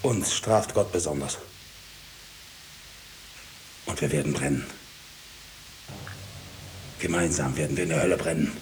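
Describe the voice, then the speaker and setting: Anguished, slow-speaking radio-drama rebel. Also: male voice, extremely low pitch, low-quality recording. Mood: grim, foreboding. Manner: slow, declamatory. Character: anguished defiant man raging against a court. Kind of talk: 1950s German radio drama acting. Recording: hissy 1950s radio recording.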